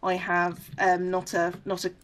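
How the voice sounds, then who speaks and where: A woman's voice: lowish voice, monotone, measured pace. A woman with a southern English accent chatting casually while playing a game, speaking hesitantly with many fillers. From England. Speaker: game player. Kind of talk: casual gameplay chat.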